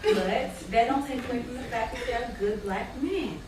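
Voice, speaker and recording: A woman's voice: on the high side, moderate pace, lightly acted. An actress playing a casual, candid woman among close friends in a colloquial stage play. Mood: reflective. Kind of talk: theatrical stage acting, colloquial dialogue. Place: indoor stage, live play. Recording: echoey hall, distant mic, background noise.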